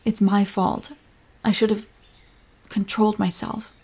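Husky, emotional girlfriend played by a woman, slow-spoken. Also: medium pitch, heavily acted. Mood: tender, soothing. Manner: emotional, swinging from sharp reproach to tender whispers. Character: hurt, apologetic girlfriend quarrelling with and comforting her partner. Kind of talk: scripted romantic audio roleplay, addressing the listener.

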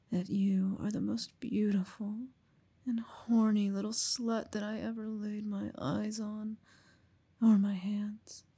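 A woman whispering slowly and teasingly into a close mic, in an affectionate, intimate roleplay. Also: mid-range voice, mellow, husky. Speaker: audio roleplay performer.

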